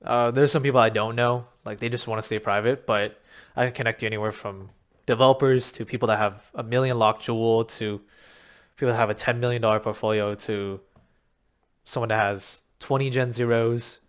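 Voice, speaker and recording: A man with a slightly raspy, worn voice, coaching viewers on a crypto game in a rambling, filler-heavy pitch, a little short of breath. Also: steady tone, a little fast. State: short of breath from long talking. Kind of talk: casual talking-head explainer.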